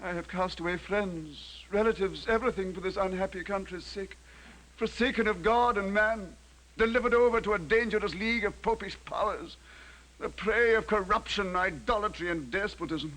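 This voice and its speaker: A man's voice: high voice, normal speed, fairly bright tone, rough recording. An actor playing an earnest, fanatical nobleman with a grandiose, solemn manner, in period radio drama style. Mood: fervent, solemn. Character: earnest, fanatical lord leading a crusade.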